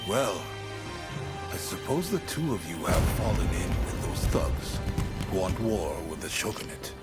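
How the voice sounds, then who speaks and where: A man's voice: low-pitched, very animated, unhurried. An actor playing a world-weary, whimsical man in a game cutscene, with a wry, languid delivery. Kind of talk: video game cutscene acting. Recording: loud music behind the voice.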